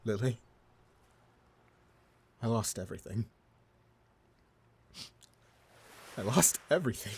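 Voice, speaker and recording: An actor voicing a defeated blind pirate overlord in an audio drama, stammering and pleading in anguish. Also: male voice, fairly low pitch, lively intonation, moderate pace, gravelly, a little mellow, husky, full character voice. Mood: grieving, tender. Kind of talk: dramatic audio roleplay acting.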